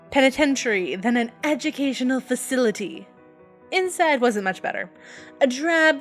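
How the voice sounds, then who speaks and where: A woman narrating a scripted first-person horror story in an expressive, dramatic storytelling manner. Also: fairly high pitch. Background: background music.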